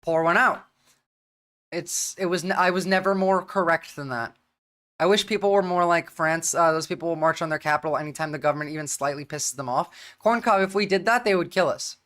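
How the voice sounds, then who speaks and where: A woman's voice: fairly low pitch, fairly quick, fairly bright tone. A young American woman with a mixed American accent, hosting a livestream, talking bluntly and profanely in casual rambling commentary. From United States. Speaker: livestream host.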